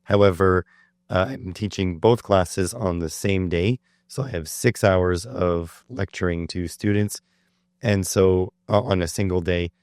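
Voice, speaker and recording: A middle-aged man hosting a solo electronics podcast, talking in a rambling, explanatory way with frequent fillers, his voice slightly hoarse. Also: low-pitched, fairly even intonation, measured pace, a little mellow, dry recording, clean studio sound. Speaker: podcast host. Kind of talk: solo educational podcast talk.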